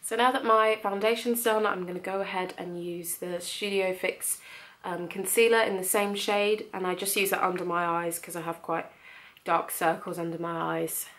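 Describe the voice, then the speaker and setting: A woman's voice: lowish voice, steady tone, normal speed, fairly bright tone. A young woman with a slight cold, chatting her way through a makeup tutorial with plenty of ums. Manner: chatty, friendly, lots of ums. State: has a cold, slightly nasal. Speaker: beauty vlogger.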